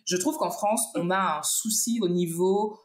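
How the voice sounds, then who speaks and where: A woman of Martinican origin hosting a casual conversation, asking curious questions with lively rises in her voice. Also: fairly low pitch, measured pace. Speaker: host. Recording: roomy echo.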